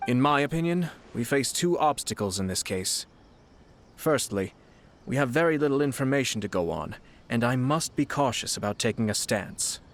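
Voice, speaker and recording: A man playing a composed, shrewd young noble commissioner in a video game, speaking in a formal, polite, measured way. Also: mid-range voice, slight background noise, close to an everyday voice.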